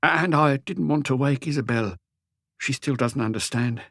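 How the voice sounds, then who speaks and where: A man voicing an anguished, lonely, meek husband in an audiobook, pleading and rambling haltingly through a confession. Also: medium pitch, creaky, a bit bright, dry recording, clean studio sound. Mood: wounded, hopeless. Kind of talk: audiobook character dialogue.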